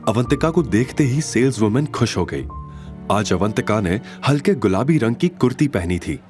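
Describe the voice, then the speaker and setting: Animated male story narrator. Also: mid-range voice, normal speed, faint music behind. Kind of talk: dramatic scripted story narration.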